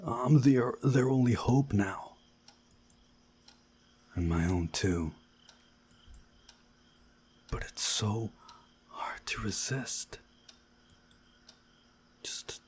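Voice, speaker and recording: A man voicing an anxious, frightened young visual novel hero in a halting, breathy, dramatic way. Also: medium pitch, a little mellow, a little hiss or hum. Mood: weary, determined.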